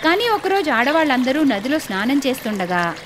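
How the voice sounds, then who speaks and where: A woman's voice: sits high, sing-song, brisk, noisy background. A woman narrating a scripted moral story in a storytelling style.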